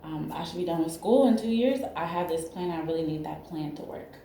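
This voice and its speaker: Woman, thirties, counseling client, halting, anxious, dark mellow voice, very echoey room. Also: lowish voice, slight background noise. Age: 30s. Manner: halting, anxious, searching for words. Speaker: counseling client in a role-play. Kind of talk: emotional personal disclosure.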